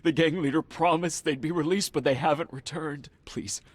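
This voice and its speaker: A man playing an anxious, guilt-ridden family man in a video game, husky and stammering as he pleads. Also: on the high side, moderate pace, no room sound. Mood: pleading. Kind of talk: video game cutscene acting.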